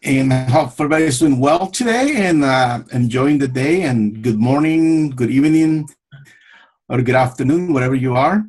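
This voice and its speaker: A man from El Salvador hosting an online club meeting over a video call, friendly and unhurried with frequent fillers. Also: medium pitch, a little mellow, slightly rough, soft background music. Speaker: meeting host.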